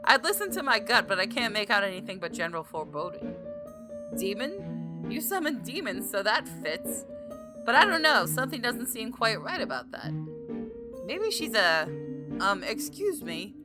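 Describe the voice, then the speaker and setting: A woman gamer narrates a story game with a lively, swinging pitch. She reads theatrically and drops into casual asides, with music clearly playing behind her. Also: moderate pace. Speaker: gaming video host reading a visual novel aloud.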